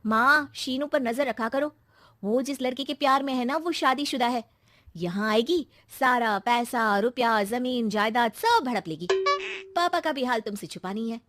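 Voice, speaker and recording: A woman's voice: fairly high pitch, moderate pace, a bit bright, no room sound, studio quality, lightly acted. A woman voicing a greedy, scheming young daughter in a moral story, with an insistent, coaxing manner. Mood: urgent, manipulative. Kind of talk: acted moral-story dialogue.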